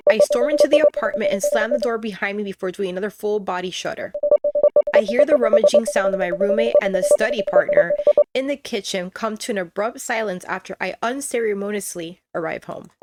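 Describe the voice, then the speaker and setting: Woman, let's player, expressive story reading, casual asides, mid-pitched voice, loud game music. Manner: expressive, conversational. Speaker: gaming streamer, let's player. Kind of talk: reading visual novel aloud with casual reactions.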